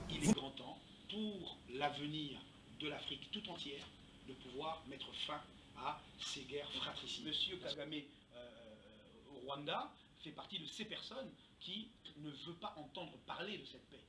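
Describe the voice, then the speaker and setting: A man's voice: a little high, animated, measured pace, a little mellow. A man with a Congolese accent giving an emphatic, rhetorical political speech in a didactic way. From Democratic Republic of the Congo. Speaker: political speaker, activist. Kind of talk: impassioned political speech to a gathering. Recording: distant, echoey room with background noise.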